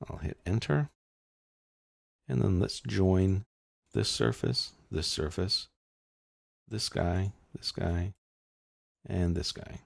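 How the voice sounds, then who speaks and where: A man narrating a step-by-step software tutorial as an instructor, explaining in an informal way. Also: deep voice, lively intonation, slow pace, creaky, pauses often, dry recording, clean studio sound.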